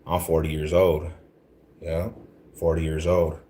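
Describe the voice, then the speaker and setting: A man in his forties with a very low voice talks on a casual livestream. He rambles loosely in street slang and circles back to repeat his points, greeting viewers as he goes. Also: flat delivery, unhurried, mellow, slight background noise. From Denver, Colorado. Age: 40s. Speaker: livestream host talking to viewers.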